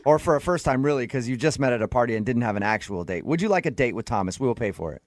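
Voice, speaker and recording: A man's voice: medium pitch, expressive, very fast. A man hosting a radio show with casual banter. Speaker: radio host.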